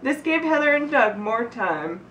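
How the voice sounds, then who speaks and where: Woman, high voice, giggly informal read-aloud, roomy sound from a distant mic. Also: sing-song, unhurried, a bit bright, lo-fi sound, slight background noise. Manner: giggly, often breaking into laughter. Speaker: reader of a birthday story. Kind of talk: reading aloud informally to friends.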